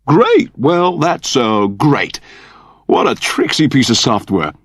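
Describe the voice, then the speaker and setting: Prim, excitable newly human android. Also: male voice, mid-range voice, slow pace, no room sound, clean studio sound, pitched above the performer's own voice, full character voice. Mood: earnest, puzzled. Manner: prim, wordy, over-polite. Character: eager, fussy android newly turned human, male, naively earnest.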